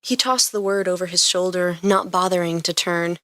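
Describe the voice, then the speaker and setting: A woman's voice: lowish voice, normal speed, pushed and bright, no echo. A woman reading scripted fiction aloud as the narrator of a story.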